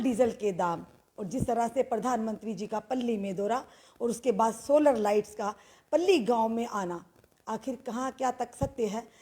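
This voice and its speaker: A woman's voice: a little high, a little mellow. A woman, speaking as a news interviewer presenting and questioning on politics. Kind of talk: scripted-sounding political interview introduction and questions.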